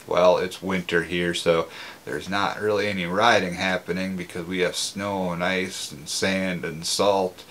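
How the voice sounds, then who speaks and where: A man's voice: deep voice, fairly even intonation, unhurried, a bit bright, a little hiss or hum. A man talks casually to viewers. His delivery is conversational and plain-spoken, like an everyday product reviewer. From Minnesota, United States. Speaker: motorcycle gear reviewer.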